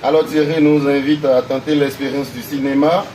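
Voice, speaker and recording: A man's voice: mid-range voice, level tone, normal speed, a little mellow, recorded from a distance. A man hosts a television interview, greeting his guest and showering him with praise as he asks his questions. His voice rings through an echoey room and sits some way off the mic, with a faint hiss behind it. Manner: effusive, repeats praise words.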